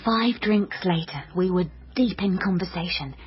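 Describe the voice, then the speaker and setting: A woman's voice: lowish voice, lively intonation, normal speed, natural voice. An actress playing a naive, dreamy, chatty young woman in a radio drama, wry and melodramatic, by turns narrating and flustered. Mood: wry narration. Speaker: lead character and narrator. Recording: dull lo-fi radio sound under music.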